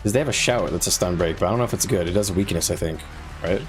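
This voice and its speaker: A man's voice: low-pitched, quick pace. A man talking casually to viewers on a live gaming stream, explaining things in an informal, conversational way. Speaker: game livestreamer. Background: music.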